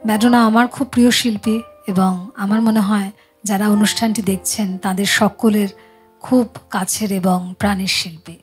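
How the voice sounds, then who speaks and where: A woman's voice: mid-range voice, fairly even intonation, moderate pace. A woman hosting a music chat show in a studio, talking casually to viewers and guests.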